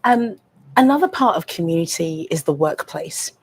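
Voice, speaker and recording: A woman with a British accent and a low, husky voice, interviewing an author on stage. She sets up her questions in a measured way, leaning on hedging words as she goes. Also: animated. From United Kingdom. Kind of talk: live onstage interview.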